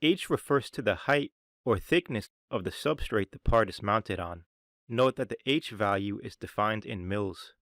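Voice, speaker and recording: A man reading a scripted software tutorial in a measured, explanatory way. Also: lowish voice. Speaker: software demo narrator.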